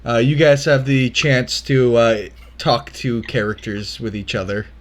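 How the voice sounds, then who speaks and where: A man's voice: medium pitch, steady tone, unhurried. Easygoing man running a tabletop game. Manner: easygoing, filler-heavy. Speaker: game master. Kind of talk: casual tabletop game chat.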